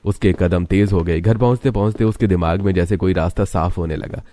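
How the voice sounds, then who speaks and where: A man's voice: low voice, brisk, mellow. A man narrating a scripted cartoon story in a dramatic storytelling style.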